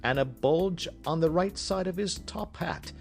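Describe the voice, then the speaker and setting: A man voicing a brisk, self-assured gentleman detective in an audiobook, precise, emphatic and teasing. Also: fairly high pitch, creaky, soft background music, pitched above the performer's own voice. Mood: brisk, confident. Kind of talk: audiobook character reading.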